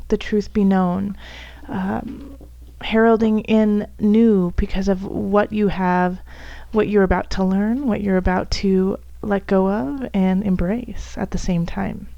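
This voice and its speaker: A woman with a raspy, hoarse voice from bronchitis, softly reading tarot cards for her viewers, at times almost whispering. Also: medium pitch, fairly even intonation, a little slow, no echo, lo-fi sound. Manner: soft, at times whispery, with fillers and restarts. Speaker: tarot reader.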